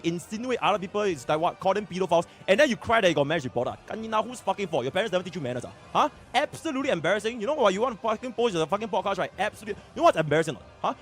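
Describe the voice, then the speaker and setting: Man, Singaporean English accent, angry swearing rant, very fast. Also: sits high. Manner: furious, swearing, rapid-fire. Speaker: streamer in a played clip.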